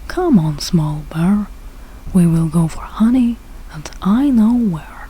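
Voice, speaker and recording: A woman's voice: lowish voice, lively intonation, slow pace, mellow, slightly rough, exaggerated voice, rough recording. A woman voicing a confident, boastful father bear from a rhyming storybook, speaking in a self-assured, instructive way.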